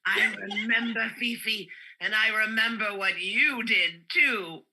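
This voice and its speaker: A woman's voice: fairly high pitch, a little slow, bright, forceful tone, heavily acted, low-quality recording. A woman playing a proud, brassy pet owner who boasts grandly. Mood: boastful. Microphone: video call.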